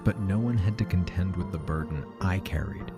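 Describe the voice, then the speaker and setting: An actor playing a weary, regretful aging man, narrating his own story in a measured, reflective way for a fiction podcast. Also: sits low, lightly acted. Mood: bitter, reflective. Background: music.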